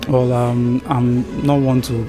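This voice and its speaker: A man who is a first-year student, giving short, soft-spoken answers in a street interview. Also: medium pitch, level tone, slow pace, faint music behind.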